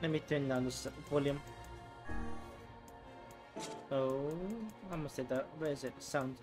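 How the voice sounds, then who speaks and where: A man's voice: mid-range voice, flat delivery, normal speed. A man giving casual gameplay commentary as a let's player. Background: game music.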